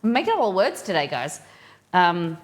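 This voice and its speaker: A woman's voice: lowish voice, big pitch swings, moderate pace, a little hiss or hum. A woman with an Australian accent, hosting a casual cooking live stream and chatting informally with viewers as she thinks aloud.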